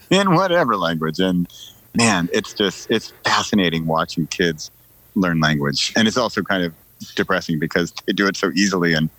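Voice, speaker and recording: Deep-voiced middle-aged man. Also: expressive, moderate pace, a bit bright, no echo, poor audio. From United States. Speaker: podcast guest, writer. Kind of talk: casual conversational storytelling.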